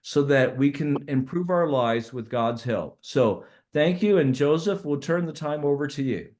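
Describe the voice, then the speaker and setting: A man's voice: a little high, animated, a little slow, a little mellow. A man acting as host, giving a formal introduction and handing over to a speaker.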